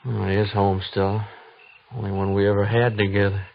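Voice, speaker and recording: An actor playing a tender-hearted young frontier trooper and anxious husband in an old radio drama, with folksy rural American speech. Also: male voice, lowish voice, flat delivery, fairly quick, gravelly. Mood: tender, dreamy. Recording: dull, noisy old radio recording.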